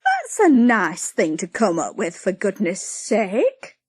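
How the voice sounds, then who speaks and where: A woman voicing a sharp-tongued middle-aged village baker who scolds briskly and dismissively. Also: medium pitch, very animated, no room sound. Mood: scolding.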